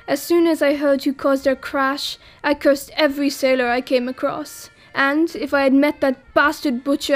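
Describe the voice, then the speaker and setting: Wry high-voiced exiled woman. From France. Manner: wry, self-mocking, laughs while talking. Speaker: exile interviewed about a disaster. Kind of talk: acted monologue in an audio drama.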